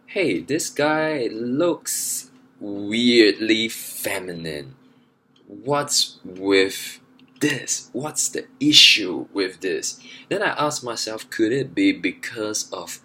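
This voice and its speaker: A man's voice: lowish voice, pushed and bright, slight background noise. A young man talking earnestly in a monologue, stressing his points by repeating phrases. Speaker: vlogger. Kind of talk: monologue to camera.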